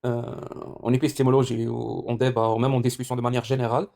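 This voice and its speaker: A man's voice: medium pitch, level tone, quick pace, creaky, mellow. A man whose first language is Arabic runs a live online debate. He talks at a breakneck pace, pressing his guest with insistent, step-by-step questions. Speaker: debate host.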